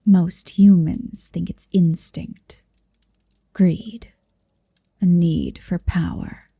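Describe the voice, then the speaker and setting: Casting: woman to play an ancient possessive she-dragon for ASMR roleplay, very dark soft tone, extremely slow delivery, tender but commanding, often whispering. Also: fairly low pitch, steady tone, no echo, clean studio sound, heavily acted. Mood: intimate, intense. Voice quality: soft, breathy. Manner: slow, deliberate, hushed, often slipping into whisper. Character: possessive centuries-old female dragon in human form, tender, commanding. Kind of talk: ASMR roleplay, intimate second-person monologue.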